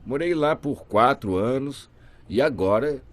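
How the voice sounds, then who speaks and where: A man voicing a deaf guest's signing in the first person, telling his story in a lively, expressive way. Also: medium pitch, measured pace. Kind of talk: simultaneous voice interpreting, first person.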